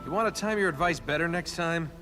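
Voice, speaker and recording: An actor playing a young, roguish, wisecracking adventurer in a video game, with sarcastic, flippant banter. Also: male voice, on the high side, fast talker, pushed and bright, soft background music. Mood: wry, teasing.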